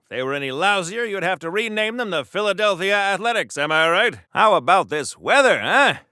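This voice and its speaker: Theatrical, bright-voiced 1940s gentleman hero. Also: high-pitched, lively intonation, brisk, clean tone, no echo, studio quality. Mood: jovial. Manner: mannered, wordy, theatrical, polite. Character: chatty, courtly, oblivious 1940s gentleman adventurer, comic superhero. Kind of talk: old-time 1940s radio-style comic acting.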